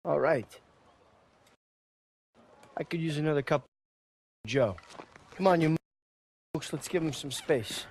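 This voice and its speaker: A man's voice: on the high side, slow pace, long pauses, a little hiss or hum. An actor playing a brash, good-hearted American infantryman, slangy and chummy, in a war game. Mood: jovial. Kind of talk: war game cinematic acting.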